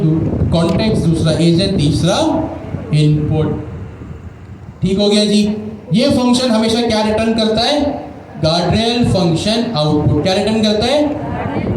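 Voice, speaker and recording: A man's voice: sits high, darkish tone. A man teaching a live coding class, explaining step by step, quizzing students and repeating key terms. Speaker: coding instructor. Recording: very echoey room with clear background noise.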